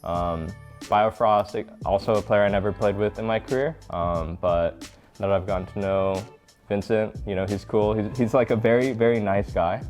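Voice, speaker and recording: Male pro gamer, slightly low voice, thoughtful casual interview delivery, faint music behind. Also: moderate pace, a little mellow. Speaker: pro esports player. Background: background music.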